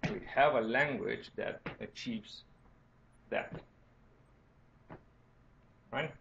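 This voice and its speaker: A man's voice: medium pitch, drawn-out words, gravelly, fairly bright tone, a little hiss or hum. A man from Romania with a Romanian accent, lecturing informally as a university teacher and often checking in with "right?" and "okay?". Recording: dull, lo-fi lecture recording.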